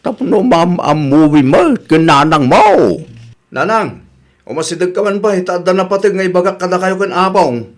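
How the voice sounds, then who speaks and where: A man's voice: sits high, lively intonation, normal speed. A man playing a boastful, lying young suitor in an Ilocano radio comedy drama, with an Ilocano accent and an exaggerated, stammering delivery. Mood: pleading, scheming.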